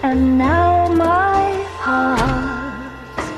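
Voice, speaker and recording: A woman's voice: high-pitched, very slow. A woman playing a heartbroken, sultry nightclub singer, singing a torch song in a video game cutscene. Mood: aching, pleading. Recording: loud backing music.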